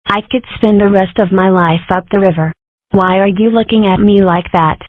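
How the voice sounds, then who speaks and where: A woman's voice: mid-range voice, fairly even intonation, normal speed, no room sound, lightly acted. A woman voicing a mischievous, dramatic young wolf girl in a comic dub, sarcastic and declamatory. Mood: dramatic worry. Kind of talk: amateur comic strip dub. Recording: muffled, phone-like lo-fi audio.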